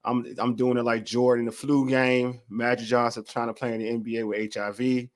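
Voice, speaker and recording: Hoarse guy w a dc doing a sports podcast, chill slangy talk, stumbles and repeats a lot. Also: mid-range voice, level tone, a little fast. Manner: loose, repeats words, slangy and friendly. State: hoarse from a cold. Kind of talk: casual sports talk show.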